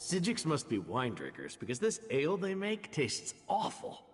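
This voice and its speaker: An actor playing a chatty, wry, loyal adventurer man in a video game, with a husky voice and a casual, expressive manner. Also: fairly high pitch, moderate pace, slight background noise. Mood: grumbling. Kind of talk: video game character dialogue. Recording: long reverberant hall echo.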